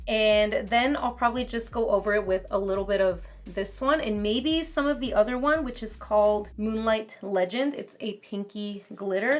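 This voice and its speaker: A young woman with a slightly raspy voice, chatting casually as she tries on and reviews makeup at home. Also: a little high. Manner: chatty, casual commentary while applying makeup. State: raspy voice from talking too much. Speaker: beauty vlogger. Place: home beauty room, indoors.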